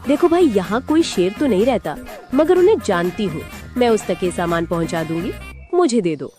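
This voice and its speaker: A woman's voice: medium pitch, expressive, normal speed, warm tone, faint music behind, close to an everyday voice. A woman voicing a sly, self-important fox who poses as a queen in a cartoon, crafty and haughty. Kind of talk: animated moral-story character acting.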